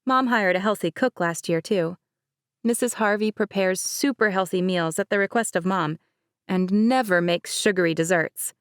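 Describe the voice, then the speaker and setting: A woman's voice: mid-range voice, normal speed, clear, resonant tone, no room sound, natural voice. A direct, earnest young wedding planner with a firm, plain delivery, as a woman reads her lines. The sound is close and dry, with no room around it.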